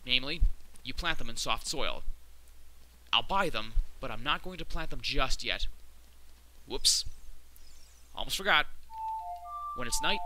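A young man with a slightly hoarse voice from a cold, giving chatty, sarcastic commentary while playing a video game. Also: mid-range voice, animated, a little slow, long pauses, rough recording. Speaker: let's play commentator. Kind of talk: casual gameplay commentary. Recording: loud game music under voice.

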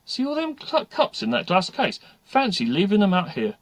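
A man's voice: high voice, wildly swooping pitch, moderate pace, creaky, no room sound, voice pushed up, full character voice. A man voicing a brash, streetwise schoolgirl who talks back in a blunt, scornful, colloquial way.

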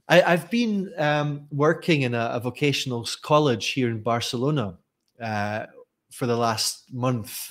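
Scottish man, soft east coast accent, forties, teacher trainer, chatty storyteller, desk mic, home room. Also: medium pitch. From Scotland. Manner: chatty, animated storyteller with frequent restarts. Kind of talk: live-streamed teaching talk. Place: bright home room with tall windows. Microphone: desk mic with headphones.

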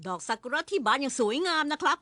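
A woman's voice: high voice, big pitch swings, fast talker, dry recording, clean studio sound. A woman voicing a whiny, self-pitying young schoolboy in a cartoon dub, plaintive and dramatic. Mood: complaining. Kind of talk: animated cartoon dubbing. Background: loud background music.

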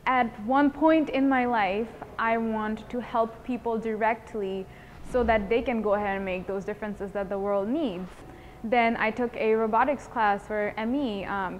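A woman's voice: a little high, dull audio, a little hiss or hum. A woman of about twenty from Bangladesh, speaks earnestly and articulately as a student giving an interview testimonial. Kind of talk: interview testimonial, semi-prepared talk. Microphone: lapel mic.

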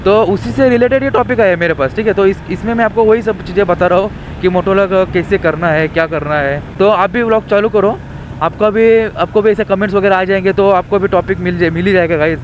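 A man's voice: sits high, brisk. A young man, chatting casually and rambling as he rides, mixing in English, recorded on a helmet camera. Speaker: motovlogger. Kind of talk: casual chat while riding. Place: on a motorbike on city roads. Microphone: helmet action camera. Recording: dull lo-fi camera audio with faint traffic noise.

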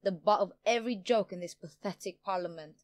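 A woman's voice: medium pitch, fairly quick, a little mellow, lightly acted. A woman playing a smug, power-hungry politician in an audio drama, pompous and theatrical in manner. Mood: smug, boastful. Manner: theatrical, pompous, self-satisfied. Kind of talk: audio drama villain acting.

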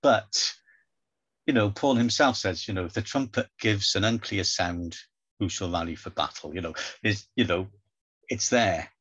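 An older man from South Wales talks through a webcam with a very deep, husky voice. He makes his case loosely and conversationally, with plenty of "you know" between his points. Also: normal speed, a bit bright. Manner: chatty, lots of "you know". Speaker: debater. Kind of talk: debate presentation. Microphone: video call webcam.

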